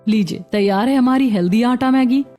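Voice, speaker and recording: Young mother and daughter-in-law, woman voice actor: patient, sensible, mellow high voice, cartoon drama. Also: normal speed, faint music behind, lightly acted. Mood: helpful. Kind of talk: Hindi cartoon story acting.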